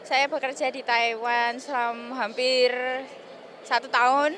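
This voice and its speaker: A woman who works as a domestic worker, answering a street interview slowly and hesitantly, with pauses. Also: a little high, level tone, a bit bright, slight background noise.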